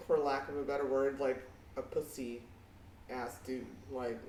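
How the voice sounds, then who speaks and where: A woman with a fairly deep voice co-hosting a casual podcast chat, blunt and outspoken, swearing freely. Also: little pitch movement, a little slow, a little mellow, distant mic, slight background noise. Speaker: podcast co-host. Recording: echoey room, off mic.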